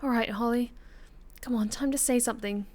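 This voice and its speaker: A woman's voice: a little high, steady tone, a little fast, a little mellow. A woman streaming a visual novel, giving chatty, informal reaction commentary with some casual swearing. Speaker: game streamer.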